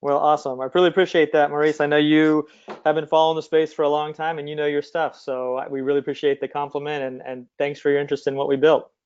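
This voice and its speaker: A man's voice: fairly high pitch, little pitch movement, fast, darkish tone, clear, resonant tone. A man speaking conversationally as an interview guest, explaining things over slightly muffled call audio. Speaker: guest, company CEO and former recruiter.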